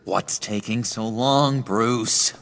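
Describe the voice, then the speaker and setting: A man playing an eccentric, needy, unstable sidekick to villains in a video game, theatrical and oddly earnest, with a raspy, creaky voice. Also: fairly low pitch, slow, fairly bright tone, big-room sound, exaggerated voice. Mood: fretful. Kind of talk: dramatic video game acting.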